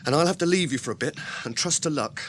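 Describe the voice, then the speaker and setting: A man's voice: fairly high pitch, fast, a bit bright, a little hiss or hum, natural voice. An actor playing a loyal, practical male hobbit servant who talks in a homely, plain-spoken way, in an old radio drama. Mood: earnest. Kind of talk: 1980s radio drama acting.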